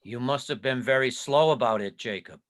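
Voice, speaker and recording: A man's voice: mid-range voice, moderate pace, a bit bright, natural voice. A man playing a frightened, stubborn old miser, theatrical and exclaiming, in an amateur reading of a Victorian story. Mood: humbled, questioning.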